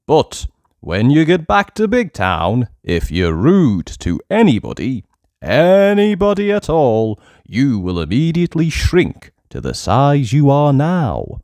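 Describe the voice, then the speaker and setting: A man's voice: medium pitch, wildly swooping pitch, slow, darkish tone, dry recording, clean studio sound, pitched above the performer's own voice. Man playing a stern, grand goblin king.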